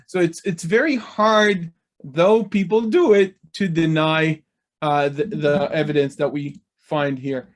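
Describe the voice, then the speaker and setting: A man's voice: on the high side, unhurried. A man hosting an interview, talking in a hesitant way with frequent fillers. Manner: hesitant, frequent "you know". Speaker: host. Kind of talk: conversational interview.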